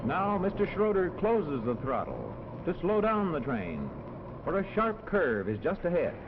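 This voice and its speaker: A man narrating a 1940s educational film in a measured, instructional manner, on a muffled old soundtrack. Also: fairly high pitch, huge pitch swings, noisy background. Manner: clear, measured, instructional delivery.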